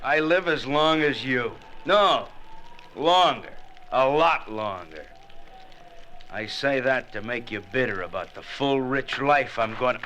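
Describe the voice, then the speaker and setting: A man's voice: mid-range voice, lively intonation, slow, bright, forceful tone. A man playing a gloating, menacing gangster killer in an old radio drama, taunting his captives with sardonic, florid slang. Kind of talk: 1940s radio drama acting. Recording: old radio recording with faint noise.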